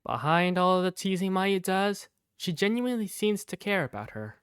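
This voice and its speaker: A woman's voice: medium pitch, normal speed, clear, resonant tone, no echo, studio quality, natural voice. A woman voicing an earnest young male student who narrates his daily life in a fan-dubbed visual novel. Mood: reflective. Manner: expressive first-person storytelling. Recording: background music under the voice.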